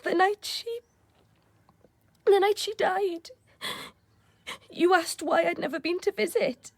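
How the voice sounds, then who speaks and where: An actress playing an earnest, passionate British doctor's wife in a radio drama, speaking in an emotional, confiding, halting way. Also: female voice, very high voice, steady tone, no echo, heavily acted. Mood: earnest, remorseful.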